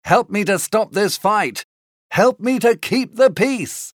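Woman doing a young lord begging for peace, storybook, fed up and urgent, pitch jumping around. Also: lively intonation, slow, no echo, clean studio sound. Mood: urgent, exasperated. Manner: urgent, exasperated. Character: upright young nobleman urgently demanding peace.

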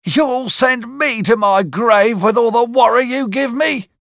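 A woman's voice: fairly high pitch, a little slow, creaky, dry recording, clean studio sound, pitched above the performer's own voice. A man voicing a hard, violent older woman who scolds a boy, in a storybook reading. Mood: scolding.

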